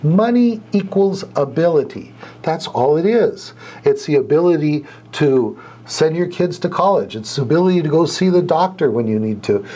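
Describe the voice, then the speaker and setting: Middle-aged man with a cold, slightly high and husky, earnest folksy instructor who repeats himself. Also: lively intonation, moderate pace, warm tone. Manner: earnest, folksy and repetitive, with sighs. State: has a cold, clearing throat, sniffly. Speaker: hypnotherapy instructor and podcast host. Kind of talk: casual teaching talk to camera.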